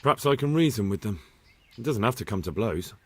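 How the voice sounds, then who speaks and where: An actor playing an earnest, inquisitive young blacksmith's son in a medieval video game, asking polite, direct questions. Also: male voice, lowish voice, lively intonation, a little fast, husky. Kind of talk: video game dialogue acting.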